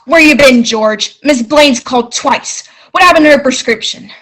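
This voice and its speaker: A woman's voice: high voice, moderate pace, fairly bright tone, poor audio. A woman playing a grieving, drunken, harsh druggist in an old-time radio play. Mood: angry, drunken. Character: harsh, grief-stricken, drunken woman druggist, mother of a grown son.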